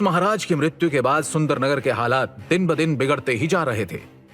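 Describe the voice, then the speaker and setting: A man's voice: a little high, a bit bright. A man narrating a scripted dramatic story.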